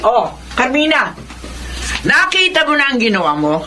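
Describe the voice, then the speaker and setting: A stern middle-aged mother-in-law who scolds in radio drama style. Her high voice rises and falls dramatically, with noise in the background. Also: big pitch swings, moderate pace, bright, forceful tone, exaggerated voice. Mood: angry scolding.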